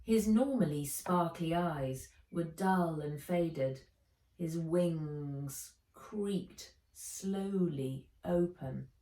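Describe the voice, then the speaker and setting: A woman narrates a children's adventure book at a measured, slow pace. Her fairly low voice swings expressively through the sentences, theatrical and clear in intent. She sounds roomy and set back from the microphone. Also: dark tone. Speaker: author reading own children's book. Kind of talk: dramatised audiobook narration. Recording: roomy, echoey, distant microphone.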